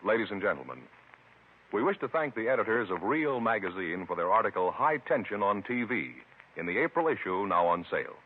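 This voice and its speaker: An American man announcing and pitching in 1950s radio style. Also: very animated, fairly quick, background noise. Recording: muffled 1950s radio, music behind.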